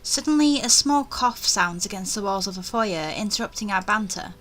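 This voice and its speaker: A woman reading a visual novel aloud in first person, animated and chatty, with offhand asides. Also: medium pitch, moderate pace, a little hiss or hum.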